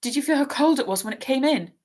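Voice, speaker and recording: A woman reading aloud and voicing a timid, frightened boy who speaks slowly and shakily. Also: sits high, lively intonation, darkish tone, voice pushed up.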